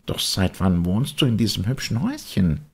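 A man's voice: lowish voice, sing-song, moderate pace, creaky, darkish tone, slightly rough, voice pushed up, heavily acted. A man voicing an earnest young wooden puppet boy in a storybook, speaking emphatically and with feeling.

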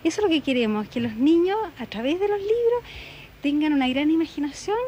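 A Chilean woman speaks in a fairly high voice into a handheld interview microphone. She explains things to her interviewer as an organizer would, checking for agreement as she goes. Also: animated, brisk, dark tone, a little hiss or hum. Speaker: book fair coordinator being interviewed. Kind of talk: on-location TV interview. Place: indoor book fair stall.

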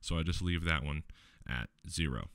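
A man with a deep, low voice walking viewers through a software tutorial step by step, relaxed, with the odd filler. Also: normal speed, gravelly, no room sound, studio quality. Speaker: tech tutorial host. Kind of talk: screen-recorded software tutorial.

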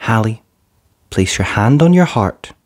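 A storybook narrator voicing a gentle, encouraging mother with a tender, solemn, reassuring delivery. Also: medium pitch, very animated, unhurried.

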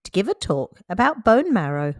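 A woman reading a children's picture book aloud, slow, clear and expressive. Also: mid-range voice, dry recording, studio quality.

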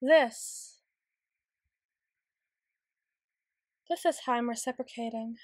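A woman playing a proud, anxious, easily flustered tsundere young woman in an intimate ASMR roleplay, stammering and halting with wordy, formal phrasing. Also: fairly high pitch, no room sound, studio quality, lightly acted. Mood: tender, shy. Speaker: audio roleplay voice actor.

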